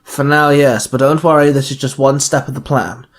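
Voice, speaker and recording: Calm, organised male commander briefing a squad, read by a man, mid-range voice, story podcast. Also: mid-range voice, normal speed, natural voice. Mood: commanding. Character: calm male team leader giving mission briefing.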